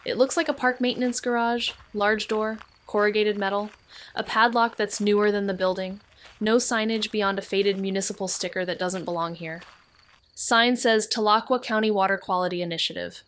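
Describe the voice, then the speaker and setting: A woman's voice: medium pitch, steady tone, moderate pace, fairly bright tone, natural voice. A woman voicing a wry young amateur sleuth who narrates a noir audio drama with dry, sardonic remarks. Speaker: narrator and investigator.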